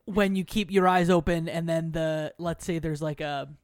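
A man's voice: on the high side, fairly even intonation, moderate pace, clean tone, dry recording. Guy podcaster explaining games casually, emphatic, dry close recording. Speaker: podcast guest. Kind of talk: casual video game discussion.

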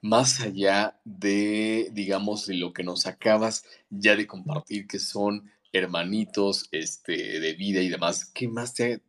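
A man's voice: lowish voice, fairly even intonation, takes it slowly, hardly any pauses, fairly bright tone. A man hosting a podcast, asking long, rambling questions and correcting himself as he goes. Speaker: podcast host. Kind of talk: interview questions.

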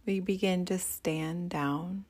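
Slow, low-pitched woman guiding meditation. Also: steady tone. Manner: soothing, gentle, unhurried guiding. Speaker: meditation teacher and host. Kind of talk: guided meditation.

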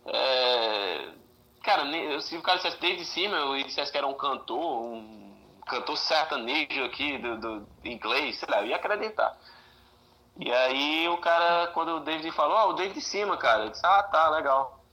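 A man's voice: a little high, expressive, moderate pace, bright, forceful tone, lo-fi sound, a little hiss or hum. A Brazilian man chatting casually, telling stories in a joking, slangy way and acting out the dialogue. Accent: Brazilian Portuguese. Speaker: podcast guest. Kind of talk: animated casual storytelling among friends.

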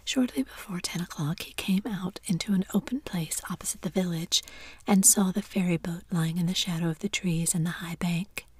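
A woman narrating a classic story in a steady, hushed whisper. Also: on the low side, moderate pace, husky.

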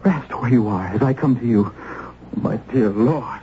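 A man's voice: mid-range voice, a little slow, mellow, close to an everyday voice. An actor playing a compassionate, earnest young clergyman of colonial times, formal and theatrical in a radio drama. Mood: tender, compassionate. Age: young adult. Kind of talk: old-time radio acting. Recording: dull, hissy old radio recording.

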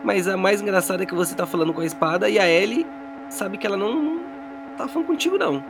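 Brazilian male game master over clear music. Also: high voice, animated, racing. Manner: colloquial, descriptive, checks in with players. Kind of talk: casual tabletop game narration.

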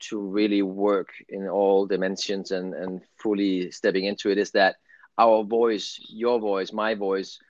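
A middle-aged man with a Danish accent and a fairly low voice speaks in a rambling, earnest, conversational way. The sound is dry and close, a little dull and lo-fi. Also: little pitch movement, unhurried, dry recording. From Denmark. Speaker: guest, spiritual channel. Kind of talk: casual spiritual conversation.

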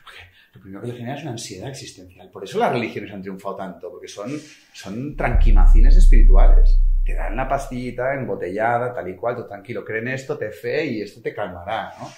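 Man, 40s, from Spain, emphatic, colloquial, podcast guest, middle pitch, echoey room. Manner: passionate, emphatic, colloquial, with swings in loudness. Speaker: guest author. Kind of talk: reflective podcast conversation.

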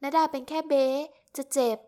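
A woman's voice: on the high side, quick pace, lightly acted. A woman doing an overworked young woman pleading. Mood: ranting. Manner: pleading, apologetic, rising to outbursts. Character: harried young woman assistant, meek then bursting out.

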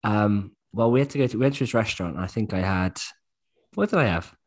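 A man's voice: lowish voice, quick pace, darkish tone. A man co-hosting a podcast, easygoing and wry as he questions a guest. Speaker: podcast co-host. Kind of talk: casual podcast chat.

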